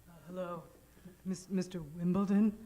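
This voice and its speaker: A woman's voice: lowish voice, level tone, moderate pace, dark tone, slight background noise, natural voice. A woman playing a meek, hesitant, stammering damsel in distress in an improvised old-time radio parody. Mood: shy, nervous. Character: timid, self-effacing young damsel in distress. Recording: roomy live stage sound.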